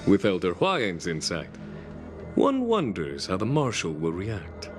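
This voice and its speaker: Game general: lowish voice, lively inflection, composed diplomat with a shrewd mind. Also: male voice, measured pace, faint music behind, heavily acted. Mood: calm, diplomatic. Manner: even, diplomatic, understated. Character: composed, shrewd male general, courteous and calm. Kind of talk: scripted game cutscene acting.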